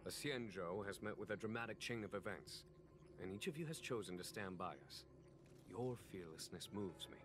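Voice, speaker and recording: A man playing a shrewd, gracious general in a video game, speaking in a measured and formal manner. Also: low voice, lightly acted. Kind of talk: scripted game dialogue, English dub. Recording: game music behind the voice. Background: background game music.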